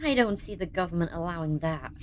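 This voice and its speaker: A woman's voice: on the low side, animated, quick pace, a little hiss or hum, lightly acted. A woman playing an anxious, sceptical space researcher in an audio drama, with a hesitant, emotional delivery. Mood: shaken, wry.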